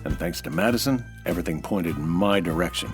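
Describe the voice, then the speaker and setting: A low-pitched, hard-boiled American man in a noir radio drama. He is dry and deadpan, telling his story over background music. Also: fast, vocal fry. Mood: wry narration. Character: hard-boiled American nightclub owner and detective-style narrator, wry. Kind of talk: 1940s radio noir acting, scripted narration.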